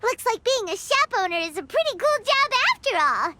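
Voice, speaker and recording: A woman voicing a tiny, bubbly childlike fairy companion in a video game, with a squeaky voice. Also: big pitch swings, brisk, heavy background noise. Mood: delighted. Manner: bubbly, refers to herself in third person. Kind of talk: animated video game acting.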